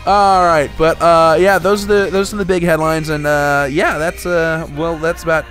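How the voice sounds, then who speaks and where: A man's voice: on the high side, fairly even intonation, normal speed, fairly bright tone, soft background music, poor audio. A young man hosting a casual podcast, talking in rambling, restart-heavy stretches with some swearing. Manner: rambling, full of restarts and profanity. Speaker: podcast host.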